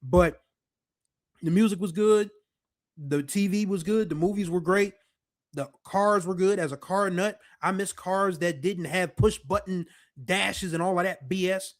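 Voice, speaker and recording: A man in his forties speaks at a rather high pitch for a man. He hosts his own show, talking in a relaxed, meandering way with plenty of fillers. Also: a little slow. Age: 40s. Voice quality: deeper voice. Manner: relaxed, rambling, with frequent fillers. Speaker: podcast host. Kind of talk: casual nostalgic monologue.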